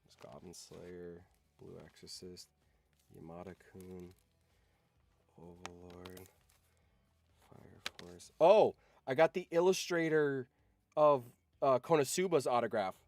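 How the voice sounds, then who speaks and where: A man's voice: lowish voice, steady tone, slow pace, pauses often, a little mellow, slight background noise. A man chatting casually on a livestream in an informal, sweary way. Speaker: streamer.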